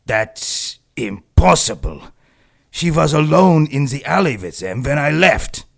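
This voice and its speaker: An actor playing an arrogant, menacing henchman in a pulp radio drama, measured and smug. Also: a little high, very animated, creaky, heavily acted. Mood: defensive. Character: arrogant, menacing male henchman plotting against humans.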